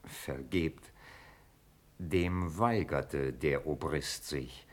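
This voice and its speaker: Courtly count in a verse radio play, deferential, low voice, takes his time. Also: full character voice. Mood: measured, apologetic. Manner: courteous, measured, formal. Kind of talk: classical German verse radio drama acting.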